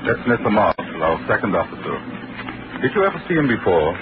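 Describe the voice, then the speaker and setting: An actor playing a polite, formal ship's doctor in an old radio drama, speaking in a soothing, courteous way. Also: male voice, on the low side, big pitch swings, fairly quick, background noise. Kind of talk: 1940s radio acting. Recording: tinny old radio recording with music.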